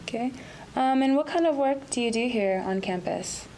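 A woman's voice: on the high side, lively intonation, moderate pace, a little mellow, slight background noise. A woman interviewing a guest for a podcast, often starting her questions with hesitant fillers.

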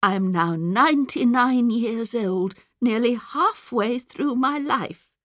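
A man's voice: very high-pitched, lively intonation, slow, a bit bright, no echo, clean studio sound, voice pushed up, heavily acted. A man voicing a sweet, muddled 99-year-old headmistress in a sing-song, rambling manner for a storybook reading. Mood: doddery, stately. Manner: rambling, forgetful. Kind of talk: storybook character voice.

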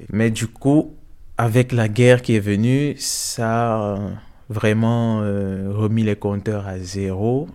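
A young man from Cameroon giving a hesitant, soft-spoken personal testimony in an interview, with many fillers. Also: on the low side.

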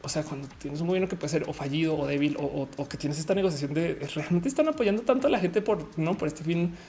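A woman from Colombia hosts a livestream in a low, mellow voice. She talks casually and rambles, with many fillers and restarts. A faint hum of room noise sits behind her. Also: fast talker. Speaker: live show host. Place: lives in Mexico.

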